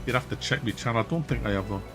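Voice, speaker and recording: A man with a Wearside Mackem accent from near Durham, casually chatting with viewers during a game stream, relaxed and chatty, often laughing and trailing off. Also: mid-range voice, very fast, over music. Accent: Wearside (Mackem) North East English. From North East England, near Durham. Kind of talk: casual live-stream chat reading viewer comments.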